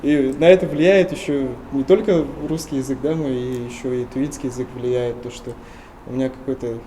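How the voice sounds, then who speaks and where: A young man from Tuva in Russia, answering interview questions earnestly and often pausing with hesitation sounds. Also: medium pitch, steady tone, dark tone, slight background noise, poor audio. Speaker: interview guest.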